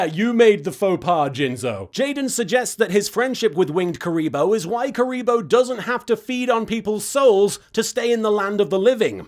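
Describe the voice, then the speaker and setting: A man narrating a scripted comedic recap in a sarcastic, mock-serious, sweary way. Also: high-pitched. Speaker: host of an anime recap series.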